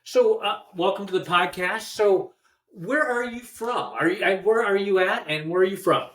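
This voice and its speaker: A podcast host with a mid-pitched voice, asking questions in a casual interview. The phrasing is halting, with words repeated. The sound is roomy and echoing. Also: a bit bright.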